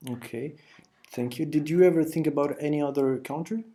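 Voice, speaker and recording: A man hosting an interview, asking questions haltingly with many fillers and restarts. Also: a little high, warm tone. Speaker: host and interviewer.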